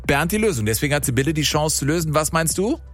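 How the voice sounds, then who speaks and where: A man hosting a radio phone-in quiz in a lively game show style. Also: a little high, quick pace. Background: music bed.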